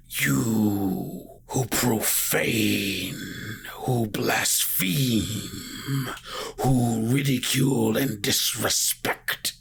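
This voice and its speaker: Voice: mid-range voice, creaky, fairly bright tone, breathy edge, full character voice. A game master voicing an imperious, menacing snake-owl creature in a tabletop game, with a whispery, grandiose, archaic way of speaking. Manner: slow, archaic, grandiose speech.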